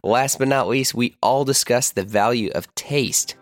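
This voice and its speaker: A man's voice: mid-range voice, unhurried, soft background music. A man hosting a podcast, reading a chirpy, informal promo and introduction to listeners. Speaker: podcast host. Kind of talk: scripted promo and episode introduction.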